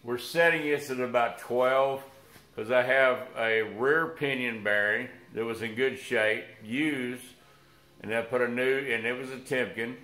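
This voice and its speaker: An older man with a scratchy voice, under the weather with flu, giving a halting, folksy how-to talk to camera in his workshop. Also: mid-range voice, steady tone, bright, forceful tone. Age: older, around 60s. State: unwell with flu. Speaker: host of a workshop restoration vlog. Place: cluttered workshop, indoors. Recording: roomy workshop echo.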